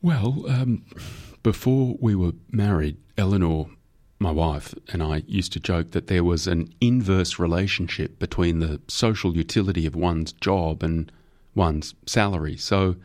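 A man's voice: deep voice, a little slow, a little mellow. A man voicing a nervous, modest male junior lawyer in an audiobook, hesitant and deferential. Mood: deferential, awkward. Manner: hesitant, stammering ums, deferential.

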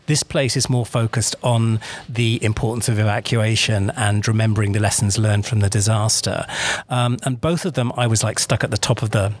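Middle-aged guy outside explaining stuff, lots of ums and restarts, kinda modest. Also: mid-range voice. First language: English. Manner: explanatory, self-deprecating, frequent fillers and restarts. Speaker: director of a disaster memorial centre. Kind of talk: on-location interview. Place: outdoors at a memorial site by the coast.

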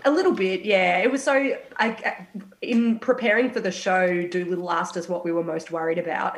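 A young woman on a radio health panel, a final-year medical student, talking frankly and a little croaky from a cold. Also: lowish voice, reverberant. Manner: frank, reflective, many restarts. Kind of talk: casual radio panel chat.

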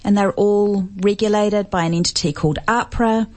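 A woman from New Zealand with a New Zealand accent, calmly explaining her research as a guest on a radio talk show. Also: medium pitch, normal speed. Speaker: health complaints researcher, studio guest. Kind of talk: radio interview.

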